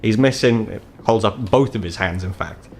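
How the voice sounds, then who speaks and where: A man's voice: fairly low pitch, normal speed, a little mellow, a little hiss or hum. British man narrating as game master. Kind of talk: narrating a tabletop roleplaying game.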